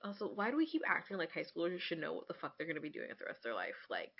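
A woman's voice: on the low side, huge pitch swings, racing, slightly rough. Blunt mid-twenties woman, slightly muffled audio. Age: mid-20s. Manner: blunt, frequent swearing, emphatic. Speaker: podcast co-host. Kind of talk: casual opinionated chat.

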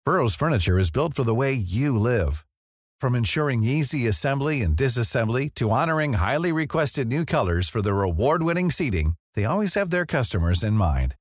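A man's voice: mid-range voice, big pitch swings, fairly quick, no room sound, studio quality. A man announcer reading a scripted advertisement. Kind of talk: scripted ad read.

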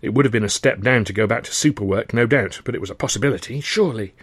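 An English man narrates a first-person novel in a medium-pitched voice. The reading is expressive storytelling, captured in a very dry, close space. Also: fast talker, breathy edge, dry recording.

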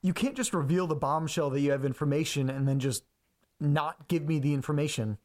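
An actor playing a curious, anxious, apologetic ordinary man in an audio drama, talking haltingly and naturally. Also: on the high side, darkish tone, close to an everyday voice. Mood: frustrated, apologetic. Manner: halting, repeats himself.

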